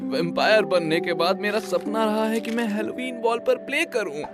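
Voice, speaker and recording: A man's voice: high voice, normal speed, lightly acted. A man voicing a theatrical, plaintive male vampire musician in a cartoon dub. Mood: mournful. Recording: music behind the voice.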